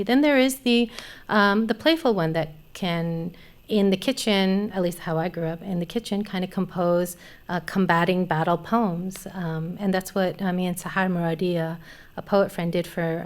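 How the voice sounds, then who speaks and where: Thoughtful woman poet, lowish voice. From Afghanistan. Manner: thoughtful, hesitant with many fillers. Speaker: poet and literature teacher. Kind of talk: poetry reading and panel conversation. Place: small studio stage. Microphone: studio mic on a stand.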